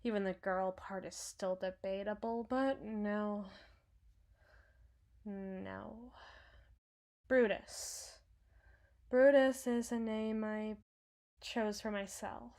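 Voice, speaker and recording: A woman playing a cynical, menacing henchwoman with a hidden soft spot in an ASMR roleplay, brooding and sardonic, sometimes sinking toward a whisper. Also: mid-range voice, fairly even intonation. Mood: flustered, sardonic. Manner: slow, brooding, sardonic, dropping into breathy whispers. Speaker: audio roleplay performer.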